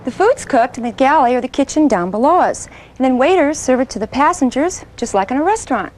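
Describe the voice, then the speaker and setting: A woman's voice: high-pitched, very animated, brisk, darkish tone. A middle-aged woman playing a helpful train crew chief with an American accent, explaining things to children in an educational film. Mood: helpful, instructive. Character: helpful female chief of train onboard services. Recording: train noise behind the voice.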